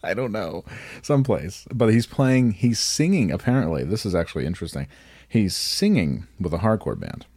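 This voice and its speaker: A man's voice: on the low side, very animated, normal speed, a little mellow, dry recording, clean studio sound. A man co-hosting a podcast in casual chat, joking, laughing often and swearing. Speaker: podcast co-host.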